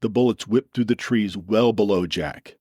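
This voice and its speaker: A man's voice: on the low side, normal speed, darkish tone, breathy edge, no room sound, clean studio sound. A man reading scripted, dramatic story narration as the narrator.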